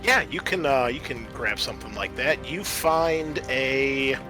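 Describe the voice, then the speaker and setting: A man's voice: mid-range voice, animated, a little slow, pushed and bright, soft background music. A man acting as game master, narrating the scene and explaining the rules of a tabletop roleplaying game.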